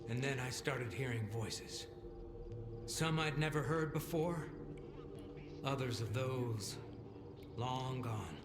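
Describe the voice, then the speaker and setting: A man's voice: medium pitch, slow pace, pauses often, noisy background, natural voice. A man playing a rattled, haunted stalker survivor in a video game, speaking hesitantly. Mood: shaken. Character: rattled male stalker survivor warning of a poppy field. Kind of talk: video game character dialogue.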